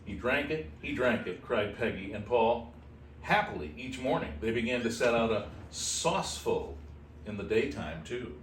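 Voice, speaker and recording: A man's voice: mid-range voice, expressive, moderate pace. Echoey, distant man reading a storybook aloud. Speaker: host reading a children's book aloud. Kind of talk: expressive storybook read-aloud with casual asides. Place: animal shelter room with kittens. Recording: echoey room, distant mic, faint noise.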